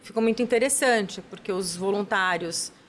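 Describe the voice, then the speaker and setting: A woman's voice: mid-range voice, moderate pace, a little hiss or hum. A woman, a charity's executive director, talking at length as a guest in a TV studio interview, tagging her sentences with questions. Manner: enthusiastic, explains at length with many "né?" tags. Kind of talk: casual interview talk.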